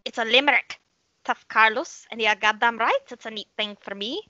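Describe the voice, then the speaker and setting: A man's voice: very high-pitched, normal speed, pushed and bright, no room sound, dull audio, voice pushed up, full character voice. A man voicing a crude, greedy leprechaun who talks in cocky sing-song rhymes for a comedy story. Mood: boastful. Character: crude, greedy, rhyming leprechaun hungry for gold.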